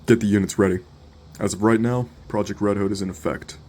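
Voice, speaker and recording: Beleaguered official, played by a man: lowish voice, bitter, barking orders in a horror drama, slight background hiss. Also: steady tone, a little fast, darkish tone, natural voice. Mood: resolute, commanding. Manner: bitter, exasperated, commanding. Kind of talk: analog horror audio drama.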